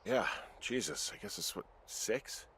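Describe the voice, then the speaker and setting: A man's voice: fairly low pitch, unhurried, sharp tone, a little hiss or hum. An actor playing a weary, sarcastic everyman rookie fire lookout in a video game, speaking in a dry, reluctant, casual way. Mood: sheepish. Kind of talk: naturalistic video game dialogue.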